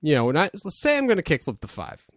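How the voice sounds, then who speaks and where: A man's voice: a little high, huge pitch swings, fast talker, no room sound, studio quality. A man hosting a casual podcast, talking in a laid-back, joking way. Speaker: podcast host.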